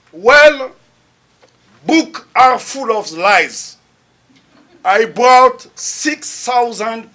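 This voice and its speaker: Slow emphatic Congolese lecturer, French-accented man. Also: high-pitched. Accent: French-speaking Central African. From Republic of the Congo. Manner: slow, emphatic, rising to loud declarations. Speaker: guest lecturer. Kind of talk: public lecture.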